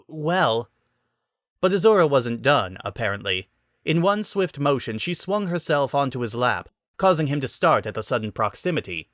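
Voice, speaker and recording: Casting: male narrator, mid-range voice, lively delivery, dramatic story reading. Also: medium pitch, moderate pace, no echo, studio quality. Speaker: narrator of fan fiction. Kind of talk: expressive scripted story narration.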